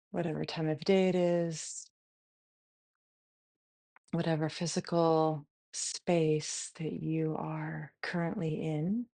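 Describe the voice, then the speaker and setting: Female meditation guide, mellow and even, gentle short phrases with long pauses, close dry sound. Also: low voice, vocal fry, no room sound. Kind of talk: guided body scan meditation.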